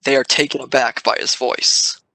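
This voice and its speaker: A man's voice: medium pitch, fairly even intonation, normal speed, creaky, sharp tone, no echo, low-quality recording. A man reading scripted scene narration for an audio drama.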